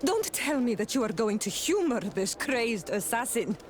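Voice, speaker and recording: A doubting, outspoken companion in a fantasy game. The voice sits high and sounds incredulous, over a faint ambience. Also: male voice, very animated, normal speed, a little hiss or hum. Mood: indignant, scornful. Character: skeptical, blunt companion of a fantasy diplomat.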